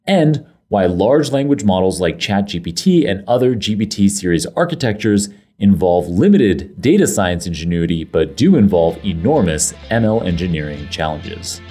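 A man with a lowish voice hosts a data science podcast. His delivery is effusive and enthusiastic, moving from a polished scripted introduction into easy conversation. Faint music plays under his opening lines. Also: expressive, normal speed, dark tone. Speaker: podcast host. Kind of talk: scripted intro then casual interview chat. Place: home studio, guest on a remote call.

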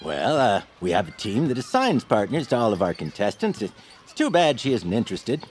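A man's voice: fairly low pitch, big pitch swings, fairly quick. A man playing a polite, aloof game-show host animal in a family audio drama, with a breezy, condescending showman's manner. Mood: dismissive. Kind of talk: family audio fiction acting.

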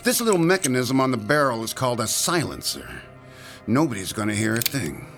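A man's voice: medium pitch, sing-song, brisk, a bit bright, soft background music. An actor playing a sarcastic male thug in a radio drama, taunting and drawling out his threats with sneering mockery. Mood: taunting menace.

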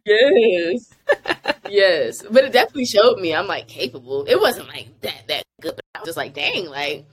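A woman's voice: mid-range voice, sing-song, moderate pace, breathy edge. A young woman, an actress, chatting casually in an interview, laughing often and filling her talk with "like". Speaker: actress guest.

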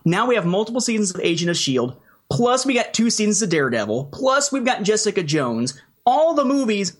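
A man hosting a podcast in casual chat, speaking in a measured, mildly wry way. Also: sits high, very animated. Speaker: podcast host.

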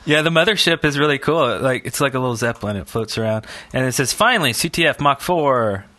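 Male podcast co-host, mid-range and bright, very dry sound, loose halting conversational delivery. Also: medium pitch, animated, no room sound. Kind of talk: casual chat, thinking aloud.

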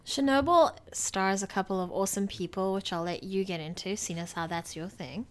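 A woman's voice: medium pitch, moderate pace. A woman co-hosting a movie podcast, explaining things informally and thinking aloud. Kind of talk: casual review chat.

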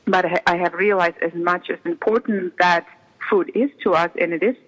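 A woman's voice: lowish voice, fairly even intonation, a bit bright, rough recording. Middle-aged woman with a Czech accent, earnest. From Czech Republic. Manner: earnest, halting, repeats phrases for emphasis. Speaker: interview guest, intuition healer. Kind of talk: conversational interview.

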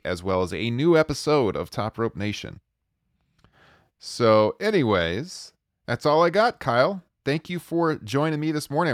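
A man hosting a podcast, relaxed and laughing often, wrapping up the show with plugs. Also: medium pitch, no echo, clean studio sound. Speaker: podcast host. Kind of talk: casual chat and show sign-off.

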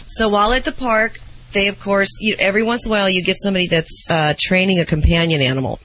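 Male radio co-host, bright, slightly high voice, casual storytelling, a bit hoarse. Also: fairly even intonation, quick pace, no echo, low-quality recording. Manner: chatty storyteller, teasing. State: losing his voice. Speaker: radio co-host reading pet news. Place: radio studio.

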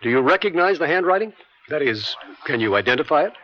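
A man acting a brisk, methodical prosecuting attorney in a radio courtroom drama, with precise, formal, controlling questioning. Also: fairly high pitch, very animated, bright, forceful tone. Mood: methodical, businesslike. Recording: dull vintage radio broadcast sound.

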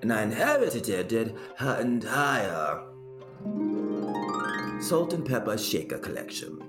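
Grand droll guy telling stories, really animated, echoey room with faint music. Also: fairly low pitch. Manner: grand, droll, deliberate pauses for effect. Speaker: interview guest, narrator. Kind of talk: theatrical personal storytelling.

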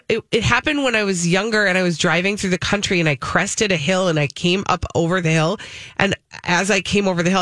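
A woman's voice: medium pitch, fairly bright tone, no room sound, clean studio sound. A woman co-hosting a morning radio show, chatting casually and gushingly, often peppering her talk with "like". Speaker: fill-in radio co-host. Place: radio studio.

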